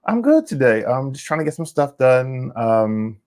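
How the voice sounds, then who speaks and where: Man chatting with lively pitch. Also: normal speed. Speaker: regular guest co-host. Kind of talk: casual chat.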